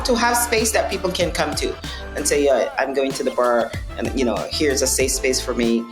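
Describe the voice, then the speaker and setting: A woman's voice: very deep voice, roomy sound. A woman from Barbados answering interview questions earnestly, often restarting and checking in with "right?". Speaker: non-alcoholic bar owner.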